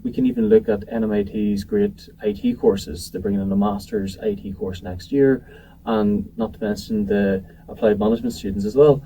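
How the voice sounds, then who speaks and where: Distant hesitant man with a dark voice. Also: on the low side. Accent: not from New Zealand. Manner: hesitant, many ums and restarts. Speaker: event organizer, interview guest. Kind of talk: casual interview answers. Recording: distant mic with faint noise.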